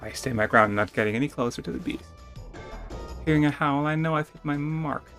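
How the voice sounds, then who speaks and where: A man with a fairly high voice gives a dramatic reading of a text adventure game. He is theatrical but informal, rereading when he trips up, and music plays behind him. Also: fairly quick, a bit bright. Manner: theatrical, stumbles and rereads lines. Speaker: gamer reading a game's text aloud. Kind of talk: dramatic narration of a text game with casual asides.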